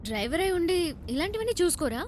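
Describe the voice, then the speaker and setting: A woman's voice: very high voice, sing-song, very fast, a little hiss or hum. A woman acting a prim, questioning young female passenger in film dialogue. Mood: reproachful. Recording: film dialogue with music behind. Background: music.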